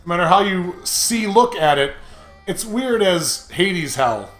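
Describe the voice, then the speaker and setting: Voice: mid-range voice, very animated, measured pace, a bit bright. A gamer reading a visual novel as a wry, exasperated teenage boy delinquent who narrates his troubles. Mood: wry, baffled. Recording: roomy echo, game music behind.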